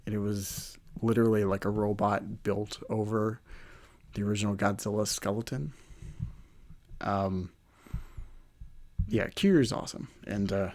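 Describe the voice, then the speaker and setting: A man's voice: on the low side, darkish tone. A man hosting a solo podcast in casual, rambling, hesitant talk, his voice slightly nasal from a runny nose. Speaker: podcast host.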